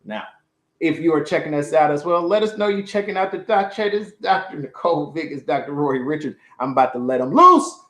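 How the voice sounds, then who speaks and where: A man's voice: a little high, brisk, sharp tone. A middle-aged man hosting an interview show over a video call, hyped and casual with slang. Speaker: host. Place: home room. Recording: roomy video call audio.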